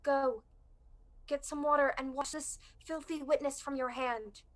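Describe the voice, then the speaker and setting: A woman's voice: sits high, fairly even intonation, measured pace. A young woman playing a steely, scornful noblewoman who commands her partner in murder, in emphatic amateur Shakespearean verse. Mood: urgent, scolding. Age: young adult. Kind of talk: amateur student Shakespeare performance.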